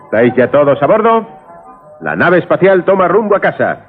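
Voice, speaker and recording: A man's voice: on the high side, big pitch swings, a little fast, loud music behind the voice. An actor playing an enthusiastic, jovial family father with a Castilian accent in a Spanish radio drama. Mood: easygoing. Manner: exuberant, affectionate teasing. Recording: phone-like, faintly noisy 1980s radio recording.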